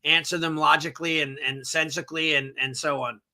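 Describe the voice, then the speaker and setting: Podcast guest: man, New York accent, a touch hoarse, very bright and a little high, energetic and chatty. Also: brisk. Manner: energetic, rambling, self-correcting. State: slightly hoarse. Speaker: martial arts school owner, guest. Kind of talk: casual podcast interview.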